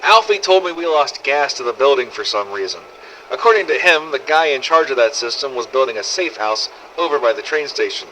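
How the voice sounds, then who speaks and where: Cynical male survivor played by an actor, mid-pitched and forceful, sardonic scripted audio-log storytelling, slightly noisy cassette-recorder effect. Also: animated, brisk. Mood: angry, exasperated. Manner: sweary, sardonic, world-weary storytelling. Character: gruff, foul-mouthed zombie-apocalypse survivor, wry and cynical. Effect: old cassette recorder filter. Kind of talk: scripted in-character audio diary narration. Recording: old cassette tape with faint noise.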